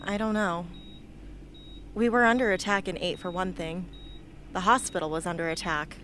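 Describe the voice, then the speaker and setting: A woman's voice: lowish voice, steady tone, normal speed, fairly bright tone, lightly acted. A woman playing a wounded, earnest and stubborn teenage girl rebel in an amateur radio drama. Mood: earnest, defiant. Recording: noisy amateur radio play recording.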